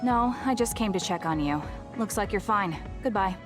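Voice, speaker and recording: A woman's voice: medium pitch, expressive, normal speed, music in the background, lightly acted. An actress playing a cool, blunt, determined young female soldier in a video game, reserved and matter-of-fact. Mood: guarded.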